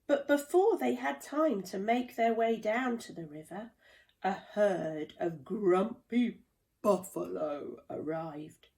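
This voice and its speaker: A woman reading a children's storybook aloud in a deliberate, dramatic storytelling manner. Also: medium pitch, expressive, creaky, a little mellow. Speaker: play therapist reading a children's book. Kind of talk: expressive children's storybook narration.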